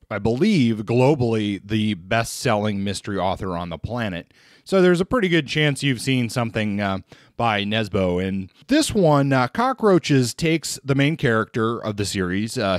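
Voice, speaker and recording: A man's voice: mid-range voice, dry recording. Man, podcast host, casual book reviews, lots of uhs, dry close mic. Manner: relaxed, hesitant with many fillers.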